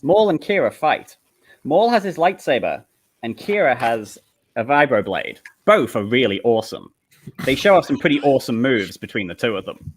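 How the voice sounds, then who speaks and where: A man's voice: mid-range voice, very animated, moderate pace, slightly rough. A man reading stage directions as narrator in a live comedic table read, with an amused manner.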